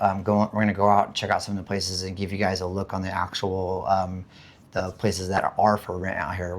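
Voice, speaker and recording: Man, very low voice, hoarse after an illness, casual rambling chat, lots of ums. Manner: rambling, many fillers and restarts. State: hoarse after being sick. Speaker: vlogger. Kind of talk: casual chat about living costs. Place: home in the Philippines.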